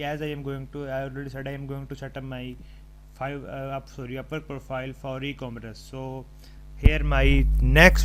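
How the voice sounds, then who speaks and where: A man presenting an unscripted step-by-step tutorial, haltingly thinking aloud as he types. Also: mid-range voice, low-quality recording. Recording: home recording with clear background noise.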